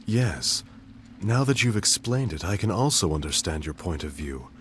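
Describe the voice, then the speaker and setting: A man's voice: low voice, big pitch swings, normal speed, a bit bright, slight background noise. An actor playing a cool, probing young nobleman in a game cutscene, speaking in a formal, precise, questioning way. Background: game soundtrack music.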